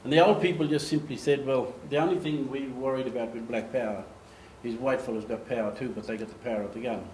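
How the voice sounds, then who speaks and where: An older man from Australia, giving an animated storytelling talk at a lectern in a meeting room. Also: mid-range voice, fast talker, slight background noise. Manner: animated, gestures, acts out quoted voices. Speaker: speaker giving a slide talk. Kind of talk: storytelling presentation. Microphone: far camera. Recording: echoey room, faint ambience.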